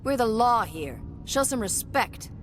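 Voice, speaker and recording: A woman's voice: fairly high pitch, big pitch swings, measured pace, slight background noise. A woman playing an aggressive, hostile security sergeant in a video game, barking and confrontational.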